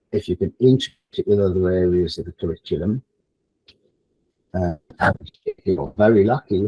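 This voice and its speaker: Deep-voiced husky man, halting delivery. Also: no room sound, lo-fi sound. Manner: halting, hesitant, repeats phrases while thinking aloud. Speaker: online education event speaker. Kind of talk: informal presentation, advice to teachers. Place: online event, close dry sound.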